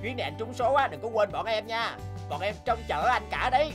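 A man voicing an eager young gambling sidekick in a cartoon, wheedling and flattering. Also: high-pitched, a little fast, music in the background. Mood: excited.

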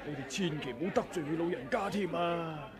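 A man's voice: on the high side, brisk, a crowd in the background, lightly acted. An actor with a Hong Kong Cantonese accent playing a timid old male scholar who wheedles and cries out in fear, in a 1980s radio drama. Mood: frightened.

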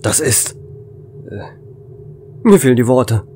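A man's voice: mid-range voice, sing-song, slow pace, darkish tone, a little hiss or hum. A man playing a desperate, weary space station officer in an audio drama, speaking in urgent, dramatic, clipped phrases.

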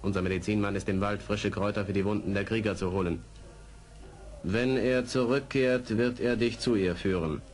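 An actor playing a proud, suspicious war chief in an audio drama, speaking in terse, formal pronouncements. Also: male voice, on the low side, steady tone, normal speed, darkish tone, close to an everyday voice. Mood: grave. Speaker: actor in a radio play. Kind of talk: 1970s German audio drama acting.

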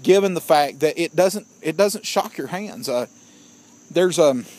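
A man with a fairly high-pitched voice talks through an outdoor gear review in a casual, plainspoken way. He is opinionated and keeps addressing his viewers as guys. Crickets chirp behind him. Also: animated, a little slow, darkish tone. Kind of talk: casual product review vlog.

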